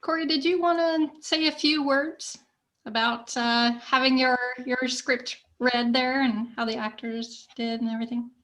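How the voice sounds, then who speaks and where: A woman's voice: high voice, fairly even intonation, a little slow, a bit bright. A woman hosting an online event, moderating casually over a video call. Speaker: event host.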